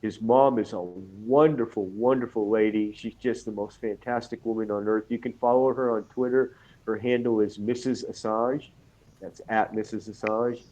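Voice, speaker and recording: A man with a cold, talking casually as a guest on an online radio show, halting with fillers and restarts. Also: fairly low pitch, fairly even intonation, darkish tone, lo-fi sound. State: has a cold.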